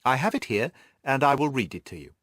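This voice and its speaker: Man voicing an earnest, animated young governess. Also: medium pitch, fairly quick, no room sound, clean studio sound. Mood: earnest, reflective. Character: earnest, anxious young Victorian governess telling her story.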